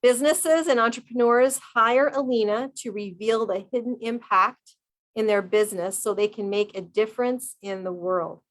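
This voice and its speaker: A woman's voice: on the high side, normal speed. Upbeat woman radio host. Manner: upbeat, enthusiastic. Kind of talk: reading an intro, then interviewing.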